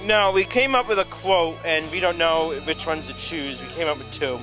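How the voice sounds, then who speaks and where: A young man co-hosting a convention video, riffing playfully and running with silly gags. His voice sits a little high. Music plays clearly behind him. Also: moderate pace. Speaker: co-host of convention coverage. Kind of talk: casual joking banter.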